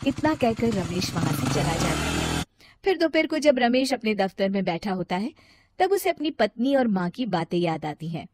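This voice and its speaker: A woman's voice: mid-range voice, very animated, moderate pace, noisy background. A woman reading scripted story narration as the storyteller.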